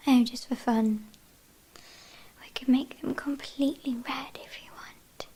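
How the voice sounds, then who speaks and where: A woman's voice: high-pitched, fairly even intonation, dark tone, a little hiss or hum. A woman with a British accent playing a teasing, haughty vampire kidnapper in an ASMR roleplay, whispering breathily. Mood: teasing, smug. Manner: teasing, mock-apologetic, unhurried.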